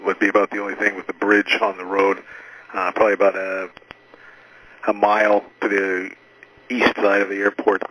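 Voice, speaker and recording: Low-voiced male air traffic controller on radio. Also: fairly bright tone, no room sound, poor audio. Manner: halting, procedural phrasing with frequent hesitations. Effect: aviation radio.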